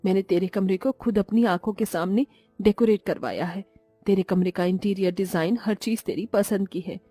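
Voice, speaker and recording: A woman voicing a snobbish, sharp-tongued middle-aged mother-in-law in a cartoon story, with a sarcastic, scolding manner. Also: mid-range voice, normal speed, no echo, faint music behind, close to an everyday voice. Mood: doting. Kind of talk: Hindi cartoon moral-story voice acting.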